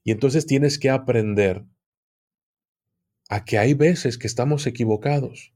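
A man's voice: medium pitch, a little slow, mellow. A man, a podcast guest talking casually and reflectively, explaining with everyday examples and laughing often. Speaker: podcast guest, occultism channel host. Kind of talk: casual interview chat.

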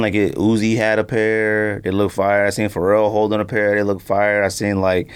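A man hosting a sneaker podcast in casual, unscripted chat, with a slangy, conversational way of talking. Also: on the low side.

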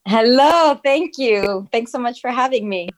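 A woman's voice: a little high, lively intonation, unhurried, no echo. A woman from Miami speaking as an interview guest, telling her story in a conversational way. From Miami, United States. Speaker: interview guest, fashion entrepreneur.

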